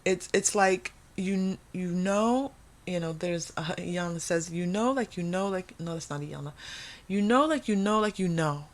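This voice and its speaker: A woman's voice: on the low side, measured pace. Casual, conversational woman reading tarot. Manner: conversational, thinking aloud, repeating phrases for emphasis. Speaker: tarot reader and host.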